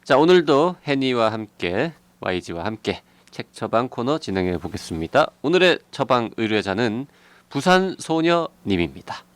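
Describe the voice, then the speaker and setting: Relaxed man in his forties co-hosting. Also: medium pitch, normal speed, no room sound. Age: 40s. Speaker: podcast co-host. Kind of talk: casual chat.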